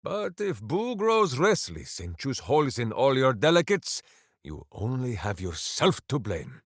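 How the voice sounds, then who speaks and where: An actor voicing a big, simple-minded, hearty berserker hero in a fantasy video game, declaiming with bombastic earnestness. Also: male voice, mid-range voice, wildly swooping pitch, measured pace, no echo, clean studio sound, heavily acted. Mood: earnest, boastful. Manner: bombastic, earnest, grandly declaiming, speaks of himself by name. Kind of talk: theatrical fantasy video game character acting.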